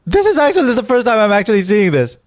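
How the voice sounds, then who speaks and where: A man's voice: high-pitched, sing-song, quick pace, dry recording. A man giving casual gameplay commentary as a streamer, thinking aloud and reading game text. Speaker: gaming streamer.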